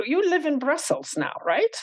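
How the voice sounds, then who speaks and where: A woman's voice: high-pitched, wildly swooping pitch, moderate pace, dry recording. A woman hosting an interview, asking chatty, encouraging questions. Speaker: host.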